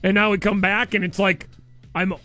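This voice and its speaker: A man hosting a casual morning radio show in a studio, a bit hoarse, talking in an animated, rambling way and laughing easily. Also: high-pitched, normal speed, faint music behind. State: hoarse, losing his voice.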